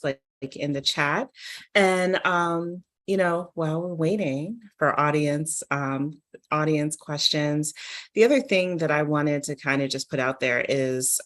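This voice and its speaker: Woman, low register, conversational panel speaker who thinks out loud with lively asides. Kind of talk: conversational literary panel talk.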